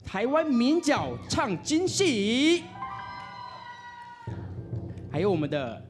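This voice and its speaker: A man's voice: extremely high pitch, huge pitch swings, takes it slowly, faint music behind. A man with a Taiwanese Mandarin accent presenting on stage as a radio DJ, playful and deferential in his banter. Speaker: radio DJ presenting award nominations. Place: on stage at an awards announcement event.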